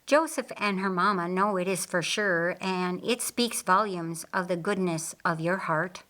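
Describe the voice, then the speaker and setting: A woman's voice: fairly low pitch, unhurried, fairly bright tone. Woman putting on an eager, chirpy young woman. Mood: admiring. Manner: chirpy, emphatic.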